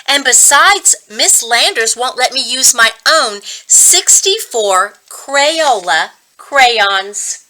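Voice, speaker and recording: A woman's voice: fairly high pitch, animated, takes it slowly, few pauses, bright, forceful tone, pitched above the performer's own voice, full character voice, poor audio. A woman reading a storybook as an indignant young schoolboy, earnest and protesting. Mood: defiant. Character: indignant first grade boy who wants to be artist.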